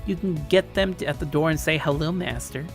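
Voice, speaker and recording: A man's voice: fairly high pitch, brisk, faint music behind, voice pushed up, lightly acted. A man voicing a tall, confident tomboy girl who teases playfully in story dialogue. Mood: playful teasing. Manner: breezy, teasing.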